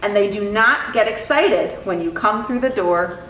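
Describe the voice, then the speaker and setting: A woman reading a children's picture book aloud in an expressive, animated storytelling style. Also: medium pitch, normal speed. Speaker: storytime reader. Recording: roomy echo, distant mic, faint noise.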